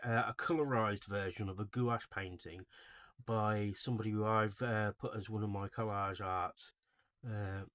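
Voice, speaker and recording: A man giving informal, unscripted video commentary as a host, in a halting, rambling way with frequent self-corrections. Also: on the low side, fairly bright tone, no echo. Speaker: host of a video series on album cover art.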